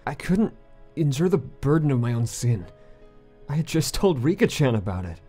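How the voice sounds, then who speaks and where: Man as a mellow, solemn teenage boy narrator. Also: mid-range voice, very animated, moderate pace, breathy edge, faint music behind. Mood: anguished, remorseful. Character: earnest, guilt-ridden teenage boy narrating his story. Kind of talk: visual novel fan dub, scripted first-person narration.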